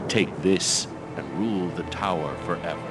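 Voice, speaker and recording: A man's voice: low-pitched, moderate pace, lightly acted. An actor playing a menacing, scheming male villain in a video game cutscene, with a theatrical manner. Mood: smug, persuasive. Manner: taunting, persuasive, theatrical. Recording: loud music under the voice. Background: cinematic game music.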